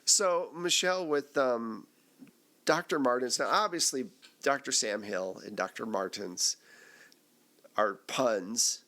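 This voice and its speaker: A man's voice: mid-range voice, takes it slowly, pushed and bright. A man hosting a casual group debrief, putting questions to players in a conversational way. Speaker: host, game master of an actual play podcast.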